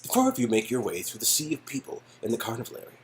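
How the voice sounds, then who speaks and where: A man's voice: mid-range voice, huge pitch swings, racing, slight background noise. A man reading an interactive story game aloud in a casual, jokey way, with goofy ad-libs. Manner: jokey, goofy, prone to ad-libbing. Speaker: co-reader performing a visual novel game. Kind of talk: casual, playful read-aloud with improvised asides.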